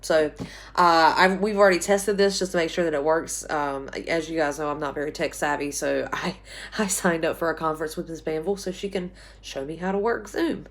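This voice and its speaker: A woman, a writing instructor recording a chatty, rambling class update at home, a little hoarse from a sore throat. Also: deep voice, fairly even intonation, brisk. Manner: chatty and rambling, many ums and restarts. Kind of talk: casual recorded class announcement. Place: small bedroom at home, indoors. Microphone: phone camera at arm's length.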